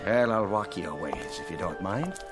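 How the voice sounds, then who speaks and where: A man's voice: low voice, lively intonation, moderate pace, over music. An actor playing a genial, tipsy policeman in a radio drama. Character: genial, tipsy Irish policeman heading home.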